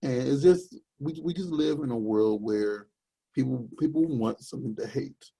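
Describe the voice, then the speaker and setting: A young man with a deep, gravelly voice talks casually on a podcast. His tone is husky and mellow, and he wanders through his points with many fillers. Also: moderate pace, poor audio. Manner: rambling, searching for words with many fillers. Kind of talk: casual chat.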